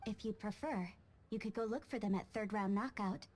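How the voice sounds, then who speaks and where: An actress playing a polite, helpful receptionist in a video game, courteous and slightly hesitant. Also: female voice, medium pitch, brisk, darkish tone, lightly acted. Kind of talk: English video game voice acting.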